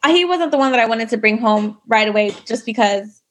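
A woman's voice: fairly high pitch, expressive, a little fast, a little hiss or hum. A woman telling her own story in a casual interview, chatty, full of likes and you knows. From United States. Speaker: guest.

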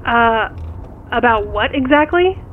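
Dull phone-call sound, actress playing a blunt concerned woman friend, high voice, slow delivery, audio drama. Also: expressive. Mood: evasive. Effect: phone call filter. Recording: muffled phone call, faint car noise.

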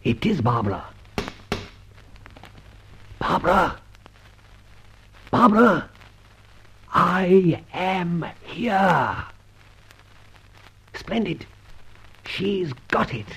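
A man playing a suave, menacing gentleman adventurer in an old radio serial, mock-polite and commanding, with clipped questions. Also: high-pitched, very animated, very slow, pauses often, husky, exaggerated voice. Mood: urgent. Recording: dull, lo-fi old radio recording.

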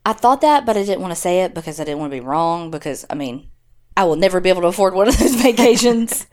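A woman's voice: lowish voice, lively intonation, fast. A woman co-hosting a podcast, chatting casually with plenty of swearing and self-deprecation. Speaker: podcast co-host. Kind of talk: casual, profane banter.

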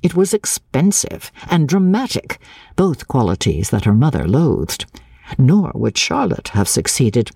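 Low, husky, expressive woman narrator. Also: a little slow, no room sound, clean studio sound. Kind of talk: dramatic literary audiobook narration.

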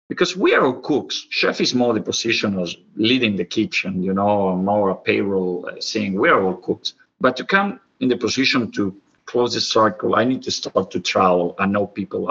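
A man in his forties with a lowish, bright, forceful voice and an Argentine Spanish accent. He tells stories informally and expressively in non-native English, like a chef being interviewed. The audio is slightly dull. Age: 40s. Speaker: professional chef, interview guest. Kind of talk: conversational interview storytelling.

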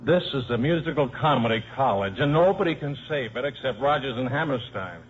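A man's voice: mid-range voice, expressive, a little fast, breathy edge. An actor playing a wisecracking, down-on-his-luck football coach and father with a dry, sardonic manner in a 1950s radio drama. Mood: weary, gruff. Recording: muffled old radio broadcast recording.

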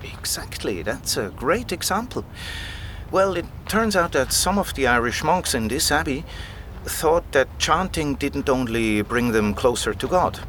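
Keen scholar guy in an audio drama, really lively pitch, patient explainer, faint noise behind. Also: measured pace. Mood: keen, explaining. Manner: explanatory, animated storytelling. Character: male scholar Elias, keen patient explainer of medieval legends. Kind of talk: conversational audio drama dialogue.

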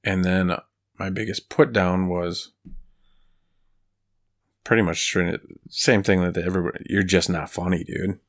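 A man's voice: low-pitched, flat delivery, measured pace, long pauses. A man co-hosting a comedy podcast, with a soothing voice and a laid-back, dryly teasing manner. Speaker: podcast co-host. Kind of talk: casual chat.

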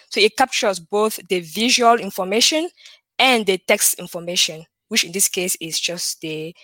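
A woman's voice: mid-range voice, no echo. Cameroonian woman with French-tinged English. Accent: French-speaking Cameroonian. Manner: animated, laughs often, frequent fillers. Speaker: guest, computer vision researcher. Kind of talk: casual interview chat.

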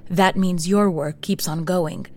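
Steady, resolute woman leader acted by a woman. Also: fairly low pitch, unhurried, faint music behind, lightly acted. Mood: resolute, encouraging. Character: steady, resolute female leader rallying her people. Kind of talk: audio drama acting, naturalistic dialogue.

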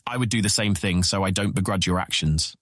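An actor playing a courteous, watchful prison guard in a fantasy audiobook, speaking in formal, measured, firm phrases. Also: male voice, low voice, fairly bright tone, dry recording, clean studio sound. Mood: polite, wary. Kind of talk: full-cast fantasy audiobook acting.

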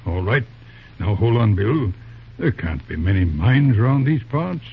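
A narrow, tinny old radio sound carries a man as a very husky, lively-toned older judge. He is an unruffled, shrewd uncle who reasons patiently in old-fashioned phrases. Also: lowish voice, measured pace, heavily acted. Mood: calm, reasoning. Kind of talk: old-time radio serial acting.

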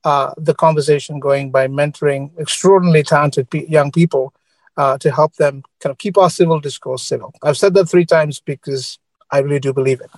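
Earnest Sri man, raised pitch, dry close mic, exec guest on a panel. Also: steady tone, brisk, dry recording, poor audio. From Sri Lanka. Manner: earnest, keeps adding a checking "right?". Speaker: media executive guest.

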